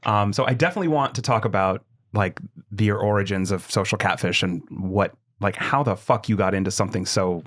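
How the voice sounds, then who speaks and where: A man hosting a podcast in casual chat, teasing and steering the conversation. His voice sits on the low side. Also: fairly quick. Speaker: podcast host.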